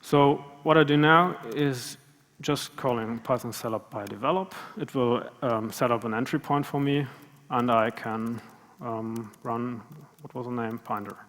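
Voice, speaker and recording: A man's voice: mid-range voice, fairly even intonation, unhurried, a bit bright. A man giving a live coding demo at a conference, talking hesitantly with many fillers and restarts. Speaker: conference speaker. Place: large conference room. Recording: long hall reverb.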